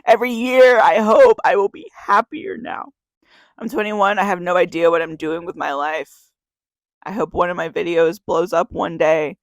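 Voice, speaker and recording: A woman's voice: lowish voice, moderate pace, dry recording, rough recording. A 21-year-old woman, crying as she reads a confessional spoken-word poem to camera, with a repeating refrain. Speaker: YouTuber.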